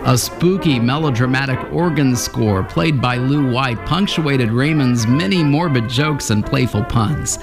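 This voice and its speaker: A man's voice: medium pitch, measured pace, hardly any pauses, music in the background. A man reading scripted narration as an announcer.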